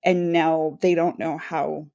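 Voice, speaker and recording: Lower-voiced woman co-hosting a book podcast. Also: little pitch movement, takes it slowly, vocal fry, mellow, highs cut off. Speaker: podcast co-host, interviewer. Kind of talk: conversational book-talk interviewing.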